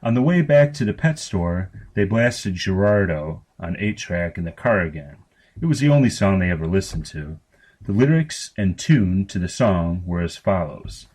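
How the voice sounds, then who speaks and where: A man's voice: deep voice, big pitch swings, moderate pace, a little mellow. A man reading scripted narration of comic grotesque fiction. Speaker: story reader.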